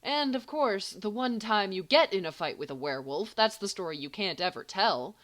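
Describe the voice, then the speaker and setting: A woman reading a story as scripted narration, expressively and with deliberate, emphatic phrasing. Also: mid-range voice, expressive, a bit bright. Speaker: audiobook reader of fan fiction.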